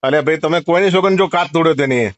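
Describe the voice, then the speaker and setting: A man's voice: a little high, rapid-fire, no room sound. A man with a Gujarati accent arguing defensively in an informal phone call. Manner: protesting, defensive. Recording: dull recorded phone call.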